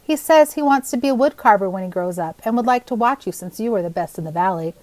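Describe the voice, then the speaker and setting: A woman's voice: medium pitch, lively intonation, quick pace, darkish tone, no echo, close to an everyday voice. A polite village widow from a storybook played by a woman. She speaks earnestly, as a young boy's mother. The sound is dry and close.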